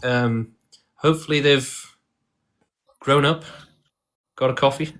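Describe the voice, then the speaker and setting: A man's voice: medium pitch, steady tone, slow, fairly bright tone. A man hosting a live-streamed debate who calls guests liars bluntly, reads the chat and moves the show along in a combative, dismissive way. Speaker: livestream debate host.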